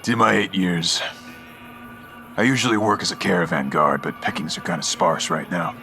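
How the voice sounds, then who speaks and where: An actor voicing a gruff, terse retired soldier turned caravan guard in a video game. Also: male voice, sits low, pushed and bright. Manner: terse, matter-of-fact, stern. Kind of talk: video game character dialogue.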